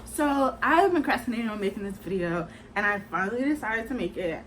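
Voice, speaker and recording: A woman's voice: medium pitch, slight background noise, poor audio. Chatty young woman vlogging to camera. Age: 20s. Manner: chatty, rambling, lots of "like" and "kinda". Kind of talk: casual talk to camera.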